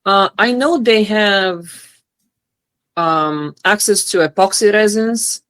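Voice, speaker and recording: A woman's voice: medium pitch, takes it slowly, fairly bright tone. A woman in her thirties from Bulgaria with a Bulgarian accent, talking earnestly as an interview guest over a remote call. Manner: earnest, hesitant with fillers. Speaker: guest, knife handle maker. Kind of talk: casual interview chat.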